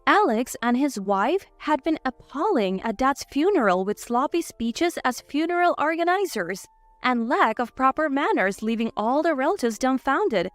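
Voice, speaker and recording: A woman's voice: high voice, very animated, normal speed, dry recording. A woman reading a scripted, first-person dramatic story as its narrator. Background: faint background music.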